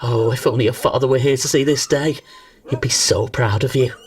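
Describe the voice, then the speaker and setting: A man's voice: medium pitch, fairly even intonation, brisk, natural voice. Man playing a fussy mother, slightly distant. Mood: chatty, proud. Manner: gossipy, fretful, coaxing. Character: fussy, doting, chatty anxious mother of a knight. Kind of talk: radio play comedy acting.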